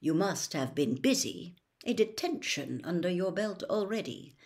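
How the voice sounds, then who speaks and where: A woman voicing a placid, courteous old male wizard headmaster in a storybook, with measured, businesslike delivery. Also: fairly low pitch, lively intonation, darkish tone. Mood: calm, measured. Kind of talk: storybook character reading.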